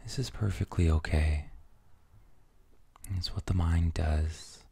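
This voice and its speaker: A man leading a guided meditation in a soft, soothing, breathy near-whisper, gently and with long pauses between phrases. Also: deep voice, fairly even intonation.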